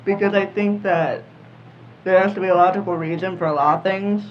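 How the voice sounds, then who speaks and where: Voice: mid-range voice, steady tone, a little fast. A young podcast panelist talking casually in a group discussion. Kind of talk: casual chat. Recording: muffled audio with faint room noise.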